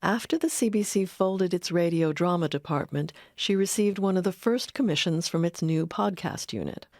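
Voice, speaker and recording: A woman's voice: lowish voice, lively intonation, moderate pace, a little mellow, dry recording, clean studio sound. A woman reading scripted documentary narration as its narrator. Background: music.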